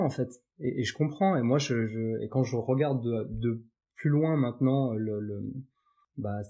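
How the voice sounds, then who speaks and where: Un homme invité d'un podcast, qui raconte son parcours sur un ton de conversation détendue, avec beaucoup d'hésitations et de reprises. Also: male voice, mid-range voice, dark tone. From Paris suburbs, France. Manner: chatty, many fillers and restarts. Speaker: podcast guest. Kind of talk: casual interview chat.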